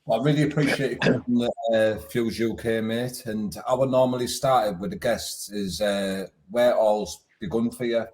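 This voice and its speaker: A man's voice: lowish voice, fairly even intonation, normal speed, few pauses, a little mellow, poor audio. A man hosting a casual interview, friendly and informal. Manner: friendly, chatty, informal slang. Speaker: podcast host.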